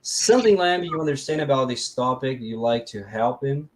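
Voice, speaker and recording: A man's voice: mid-range voice, lively intonation, normal speed, slight background noise. A man with a Brazilian accent hosts a live podcast in a casual, conversational style. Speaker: podcast host.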